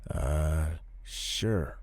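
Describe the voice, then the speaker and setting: A man's voice: very deep voice, extremely slow, a little mellow, no room sound, heavily acted. An actor playing a laid-back, obliging policeman in an audio drama, hesitant and understated.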